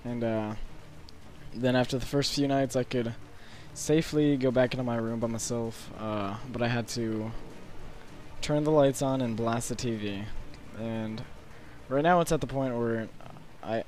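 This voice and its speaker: An eighteen-year-old man with a hoarse, sore-throated voice, rambling through casual late-night gameplay commentary from his bedroom with lots of fillers. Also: medium pitch, a little mellow, slight background noise. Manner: rambling, halting with many fillers. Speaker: gaming commentator.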